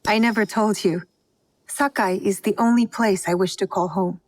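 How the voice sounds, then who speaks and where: A woman's voice: medium pitch, fairly even intonation, moderate pace, dry recording, lightly acted, poor audio. An actress playing a polite, guarded newcomer woman in feudal Japan, careful and deferential, in a video game cutscene.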